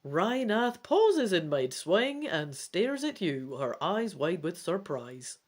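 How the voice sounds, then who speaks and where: A woman's voice: lowish voice, slow. A woman reading a gamebook aloud dramatically and expressively, with casual commentary as she plays. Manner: theatrical, expressive. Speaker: gamebook reader and player.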